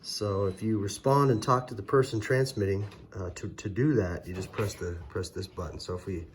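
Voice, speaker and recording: A man's voice: on the low side, normal speed. A man giving a casual, hands-on gadget tutorial, explaining step by step in a hesitant way. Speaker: product reviewer, presenter. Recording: very noisy, electronic beeps and clicks.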